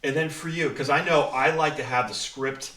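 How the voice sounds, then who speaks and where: A man's voice: fairly high pitch, normal speed, pushed and bright, recorded from a distance. A man speaking as writer-director and co-host in a casual panel chat, rambling along in an encouraging way. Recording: echoey room, off mic.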